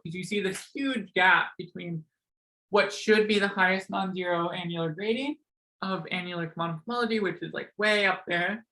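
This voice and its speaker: A man's voice: high voice, pushed and bright, rough recording. A young man with a slightly sore voice giving a casual math seminar talk, full of ums and likes. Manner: casual, many ums and likes, self-correcting. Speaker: seminar speaker.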